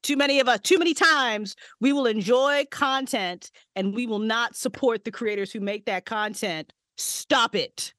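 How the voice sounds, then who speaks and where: A young woman voice actress talks on a podcast from her home recording booth, loose and animated, with plenty of swearing and laughter. Her delivery rises and falls a lot, and the close mic leaves her sound dry and dead. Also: a little high, normal speed, few pauses, dry recording. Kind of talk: casual chat.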